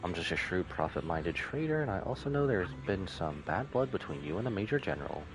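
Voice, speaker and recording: A man's voice: sits low, big pitch swings, fairly quick, poor audio. A man voicing a shrewd, polite corporate trader from a game, formal and businesslike. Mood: courteous.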